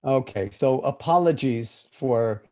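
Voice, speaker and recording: An older man with a warm, dark voice teaches meditation in a calm, reflective way. He speaks over a video call during a livestreamed question session. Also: medium pitch, slow, faint music behind.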